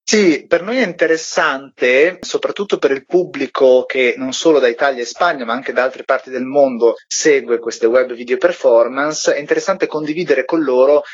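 A man's voice: mid-range voice, moderate pace, few pauses, husky. A man speaking as an interview guest, explaining things in a conversational way. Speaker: guest, theatre company member. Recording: muffled, lo-fi radio audio.

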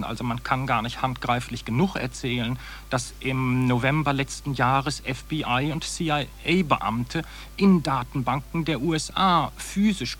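A man's voice: medium pitch, a bit bright. A man speaking as an academic expert in a radio interview, articulate, emphatic and critical. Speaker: academic researcher, interview guest. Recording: noisy digitized 1980s cassette.

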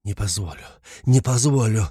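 A man's voice: on the low side, moderate pace, darkish tone, dry recording, clean studio sound, lightly acted. A man playing a proud, overwrought young male suspect in a novel, speaking in emphatic, clipped, defiant declarations. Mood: furious.

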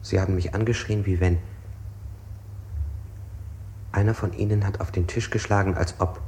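An actor playing an interrogated man who evasively recounts oddly precise details in measured, literary phrases, in a 1960s German radio play. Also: deep voice, steady tone, a little mellow, a little hiss or hum, close to an everyday voice. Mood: halting, precise.

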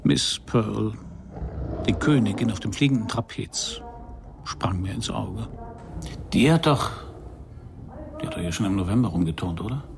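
An actor playing a wry, hard-boiled private detective in a radio crime play, with a dry, laconic delivery. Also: male voice, on the low side, expressive, a little slow, creaky, pauses often, background noise. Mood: brisk, probing. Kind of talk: first-person crime radio play narration and dialogue.

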